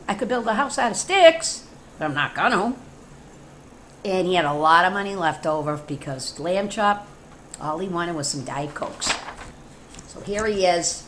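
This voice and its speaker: A woman's voice: mid-range voice, fairly bright tone, a little hiss or hum. A woman teacher reading a storybook aloud to children, playfully addressing young listeners with chatty asides.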